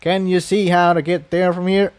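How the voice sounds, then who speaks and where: A man performs an old rustic stallion from a fantasy tale. He talks in homely country phrases, blunt but folksy, in a slightly raised pitch. The sound is very dry. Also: little pitch movement, normal speed, clear, resonant tone, dry recording, close to an everyday voice. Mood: placating, friendly. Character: elderly male earth pony, rustic, plainspoken, folksy townsman.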